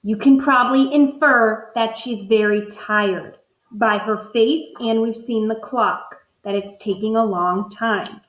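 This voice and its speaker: Teacher thinking aloud over a picture book. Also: female voice, fairly high pitch, fairly even intonation, slow pace, echoey room, highs cut off. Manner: thinks aloud, modeling inferences for students.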